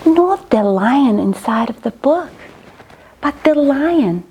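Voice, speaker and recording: A woman's voice: sits high, animated, takes it slowly, dark tone, low-quality recording. A woman reading a children's storybook aloud dramatically, speaking directly to young listeners. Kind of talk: expressive storybook read-aloud.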